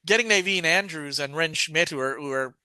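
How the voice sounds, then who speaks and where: Bright, halting male podcast host, higher-pitched. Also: clean tone, no room sound. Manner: halting, rambling, repeats and restarts phrases. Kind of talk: casual review chat.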